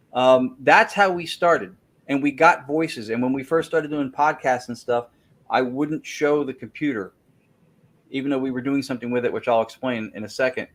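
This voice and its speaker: A man's voice: medium pitch, fairly bright tone. Man telling a long technical story. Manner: self-correcting, repeats words while thinking. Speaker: guest, spirit-communication researcher. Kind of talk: casual interview, explaining a method.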